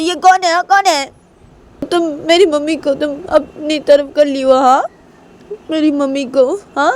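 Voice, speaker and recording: A woman's voice: sits high, a little mellow, a little hiss or hum, pitched above the performer's own voice, close to an everyday voice. A woman voicing an angry, jealous girl in a told story, whining and firing repeated demanding questions. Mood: jealous, accusing. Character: angry, jealous, sulking girl confronting her mother and rival.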